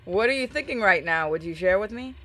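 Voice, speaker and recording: A woman's voice: mid-range voice, lively intonation, a little fast, sharp tone, no room sound. A woman hosting a game playthrough, reading on-screen dialogue aloud and adding casual asides. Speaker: gaming video host.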